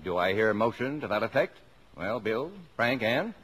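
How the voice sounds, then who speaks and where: A man's voice: lowish voice, expressive, normal speed, a bit bright, breathy edge. An American actor in a mid-century radio drama, playing a jovial, middle-aged, blustery father with an exclamatory, mock-formal way of talking. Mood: brisk, mock-formal. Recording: noisy old radio broadcast recording.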